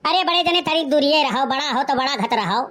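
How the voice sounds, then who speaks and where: A woman voicing a sharp-tongued, exasperated village mother in a comic cartoon, scolding sarcastically with an Awadhi accent. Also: sits high, lively intonation, fairly quick.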